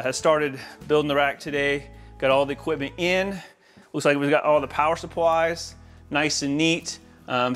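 An American man with a slightly high voice presents a casual walk-through of an installation job. He talks informally and with enthusiasm, like a tradesman showing his work to a camera. Faint music plays behind him. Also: fairly bright tone. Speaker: home audio-video installer giving a tour. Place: inside a large, mostly empty house.

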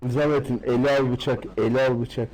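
A man's voice: mid-range voice, fairly even intonation, fairly quick, a little mellow, a little hiss or hum, poor audio. A man trolling in online game voice chat, bossy and foul-mouthed. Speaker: online shooter player.

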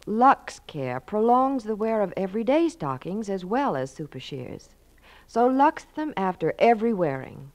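A woman reading a scripted 1940s radio advertisement as announcer. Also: mid-range voice.

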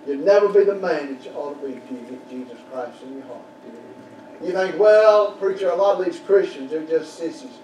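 An older man preaching a sermon in a small church, building to shouted peaks and dropping to quiet prayer. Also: on the high side, moderate pace, muffled recording. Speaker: pastor. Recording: roomy church echo from far off, background noise.

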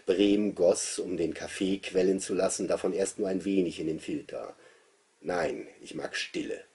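A man in his fifties giving an expressive literary reading of a novel as its author. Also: low-pitched, normal speed, slightly rough. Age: 50s. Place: small book-lined study, indoors.

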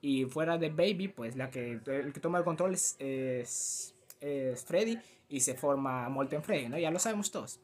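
A young man with a Latin American Spanish accent chats to the camera in a fairly high, youthful voice. He is animated and rambles in a self-deprecating way, like a casual YouTuber recording at home. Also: normal speed, hardly any pauses. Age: teens to early twenties. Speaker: YouTuber reacting to a fan dub. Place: small cluttered room at home.

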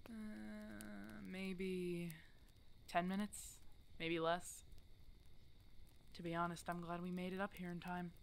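A woman's voice: on the low side, a little hiss or hum. A woman playing a stubborn, protective older werewolf hermit in an ASMR roleplay drama, blunt and teasing in a casual way. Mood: concerned. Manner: teasing, blunt, casual one-sided dialogue.

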